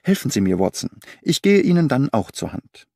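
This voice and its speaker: A man voicing a brisk, commanding male detective with a clipped, authoritative delivery. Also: mid-range voice, very animated, creaky, darkish tone, dry recording, clean studio sound. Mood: practical.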